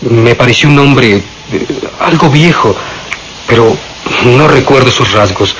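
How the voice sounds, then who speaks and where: An actor playing a polite, unsure hospital orderly answering in a radio drama, hesitant and deferential. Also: male voice, medium pitch, a little slow, a bit bright, a little hiss or hum, lightly acted, low-quality recording. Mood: hesitant, apologetic.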